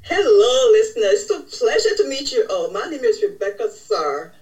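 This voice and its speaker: A woman's voice: on the high side, very animated, normal speed, a little mellow. A middle-aged woman from Liberia, a podcast guest earnestly telling her life story in a reflective way. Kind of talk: personal story in interview.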